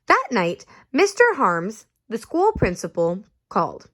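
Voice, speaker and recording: Slow, expressive woman reading to kids. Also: mid-range voice. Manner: expressive, asks listeners questions. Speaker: story reader. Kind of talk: reading a picture book aloud to children.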